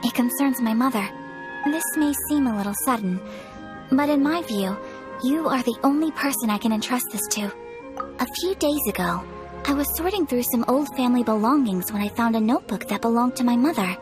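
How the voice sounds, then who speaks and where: A woman plays a courteous young noblewoman from a video game. The voice is high and the phrasing formal and measured, earnest yet reserved, with music underneath. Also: close to an everyday voice. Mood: earnest, confiding. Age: young adult. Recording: background music.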